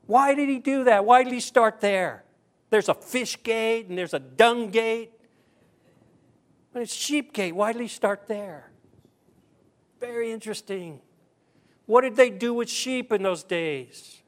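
A man's voice: very high voice, unhurried, pauses often. A man preaching a conversational sermon, putting rhetorical questions to his listeners and repeating phrases for emphasis. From the South (southern US). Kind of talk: sermon with scripture reading.

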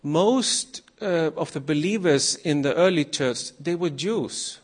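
A man from Iceland, a former missionary, giving a nervous, halting sermon to a congregation with many pauses and fillers. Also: fairly high pitch, lively intonation. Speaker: guest preacher.

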